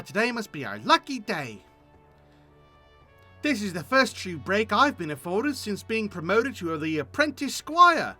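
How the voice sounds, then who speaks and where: A man's voice: sits high, bright, forceful tone, heavily acted. A man voicing a courteous, earnest young squire from a fantasy game, formal and eager.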